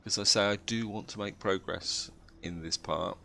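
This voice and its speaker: Deep gravelly man with a cold. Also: normal speed, a little hiss or hum. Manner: steady, explanatory, thinks aloud. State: coming down with a cold, croaky. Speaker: strategy game commentator. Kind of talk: gameplay commentary.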